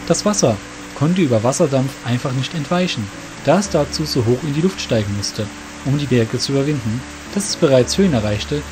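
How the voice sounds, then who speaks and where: Male fantasy storyteller over clear music. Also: mid-range voice. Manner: descriptive storytelling. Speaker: narrator. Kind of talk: scripted fantasy audiobook narration.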